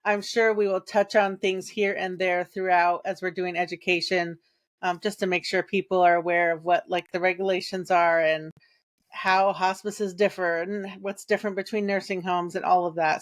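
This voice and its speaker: A woman co-hosting a podcast in a casual, frank, conversational way. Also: mid-range voice. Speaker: podcast co-host.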